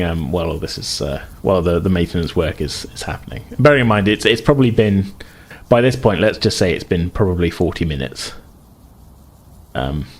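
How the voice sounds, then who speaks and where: A man with a very low voice narrates a tabletop roleplaying game. He explains the situation conversationally, feeling his way through it with hesitant phrasing. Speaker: game master.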